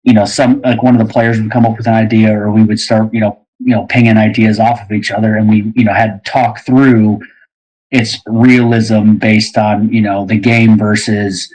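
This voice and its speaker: A man with a moderately low voice joins a casual group discussion. He rambles as he reflects, stitching long sentences together with repeated filler phrases. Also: level tone, normal speed, a little mellow, rough recording. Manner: rambling, frequent "you know".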